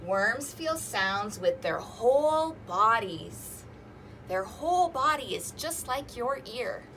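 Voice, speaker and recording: A woman's voice: a little high, lively intonation, slow pace, fairly bright tone, a little hiss or hum. A woman hosting an interactive storytime, reading aloud to young children with singsong emphasis and inviting them to join in. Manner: asks listeners questions and invites them to join in.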